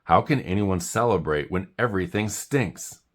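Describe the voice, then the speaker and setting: A man's voice: low voice, expressive, moderate pace. A man voicing a grumpy, sulking, exasperated monkey from a children's storybook, complaining and snappy. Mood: miserable.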